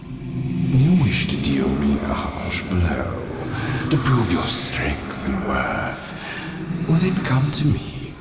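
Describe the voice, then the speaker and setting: A man's voice: huge pitch swings, extremely slow, heavily acted. A man playing a sinister, mocking Chaos sorcerer in a video game, drawing out his taunts theatrically. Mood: taunting, menacing. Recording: very noisy, echoing, rumbling ambience.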